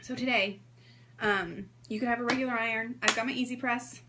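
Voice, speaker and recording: A woman in her thirties hosting a sewing livestream in a hoarse voice, chatting casually and greeting viewers by name. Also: fairly high pitch, fairly even intonation, a little fast, heavy background noise. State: hoarse from strep throat.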